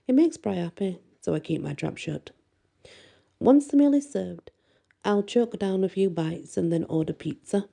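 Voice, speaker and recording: A woman reading a first-person bedtime story expressively, with a soft voice. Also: lowish voice, creaky. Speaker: audiobook reader and storyteller.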